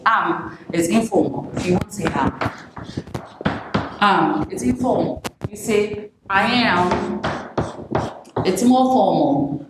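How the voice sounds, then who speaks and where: A woman teaching an English lesson, speaking didactically, repeating phrases and posing questions to the learners. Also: on the low side. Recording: very echoey room with faint noise.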